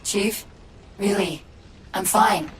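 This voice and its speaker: A woman playing a caring, anxious female AI companion in a sci-fi game, speaking in an expressive, human-sounding, familiar way. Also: medium pitch, takes it slowly, slight background noise, lightly acted, rough recording. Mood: subdued, troubled. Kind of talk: cinematic sci-fi game acting.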